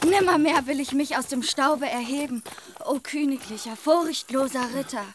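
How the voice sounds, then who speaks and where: A woman's voice: high voice, moderate pace, slight background noise, lightly acted. An actress playing a young woman posing as a noble princess in a radio drama, formal, florid and imploring. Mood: imploring, lofty.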